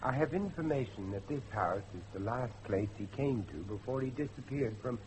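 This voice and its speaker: A middle-aged man acting a determined father and judge in old-fashioned radio drama style, with a dramatic, deliberate delivery. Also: medium pitch, expressive, a little mellow, a little hiss or hum, natural voice, poor audio. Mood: firm, probing. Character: grave, determined father, a judge seeking his missing son.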